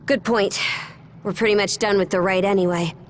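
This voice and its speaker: A woman's voice: medium pitch, steady tone, normal speed, slight background noise, close to an everyday voice. An actress playing a feisty young woman adventurer in a video game, blunt and informal in the way she talks. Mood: brisk, blunt. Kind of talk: scripted video game acting.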